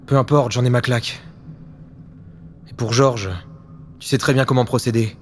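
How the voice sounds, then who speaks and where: A man's voice: mid-range voice, steady tone, moderate pace, slight background noise, natural voice. A man playing a grave, resolute and vengeful secret agent on his last mission in a French audio drama. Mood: weary, resolved. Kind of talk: French audio fiction acting.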